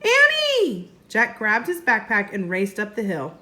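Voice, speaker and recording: A woman, a first grade teacher, reading a storybook aloud expressively and deliberately for young children. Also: mid-range voice, sharp tone.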